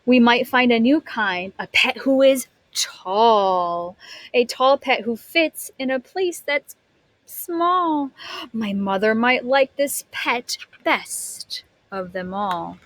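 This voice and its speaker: A woman's voice: fairly high pitch, lively intonation, slow pace, fairly bright tone, dry recording. A woman teacher reading a picture book aloud to young children, expressively, stretching words and putting questions to her listeners.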